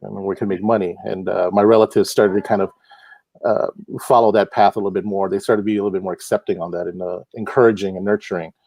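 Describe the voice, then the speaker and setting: A man's voice: low-pitched, quick pace, vocal fry, dry recording, rough recording. A middle-aged man from Hawaii, a guest talking easily in a casual interview, with lots of fillers and frequent laughs. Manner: relaxed, laughs often, many fillers. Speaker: guest, comic book artist. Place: home.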